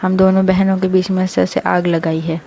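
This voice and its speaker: An earnest elder daughter-in-law, read by a woman storyteller, talks in a plain, mellow voice. It is conversational family-tale dialogue with noise in the background. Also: fairly low pitch, level tone, brisk, creaky. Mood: accusing.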